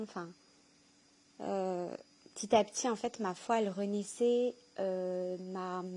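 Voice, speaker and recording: A woman shares a personal testimony in a soft-edged, mellow voice at a medium pitch. She speaks earnestly and haltingly, often pausing to search for the next word. The delivery is unscripted and intimate. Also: poor audio. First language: French. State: emotional, tearful with joy.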